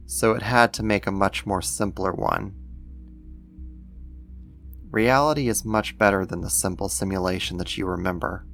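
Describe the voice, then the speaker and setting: A man playing a gentle, doting alien-like being in a soft-spoken ASMR roleplay, coaxing and soothing as if to a pet, tender and a little condescending. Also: deep voice, vocal fry.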